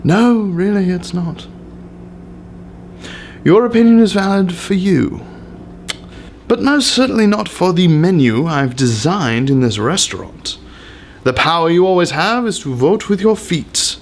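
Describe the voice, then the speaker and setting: A man's voice: high voice, expressive, unhurried, pauses often, slight background noise. A man giving a pompous, theatrical dramatic reading of an essay with exaggerated emphasis.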